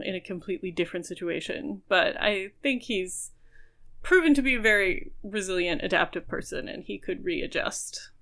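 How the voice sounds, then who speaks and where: A woman's voice: lowish voice, creaky, a bit bright. A woman co-hosting a podcast, casually talking through a book and carefully qualifying her opinions. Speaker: podcast co-host.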